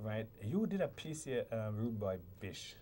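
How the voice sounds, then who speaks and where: A man's voice: on the low side, very animated, measured pace, mellow, slight background noise. A man hosting an interview, chatty and telling anecdotes, with frequent agreeing interjections. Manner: chatty storyteller, backchannels often. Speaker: interviewer, host. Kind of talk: conversational interview.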